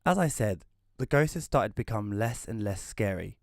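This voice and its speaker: A man's voice: fairly low pitch, expressive, moderate pace, creaky, darkish tone, no room sound, clean studio sound. A man playing a casual, wry first-person narrator in a scripted audio drama. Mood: wry, matter-of-fact. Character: Rory, casual storyteller befriending a ghost.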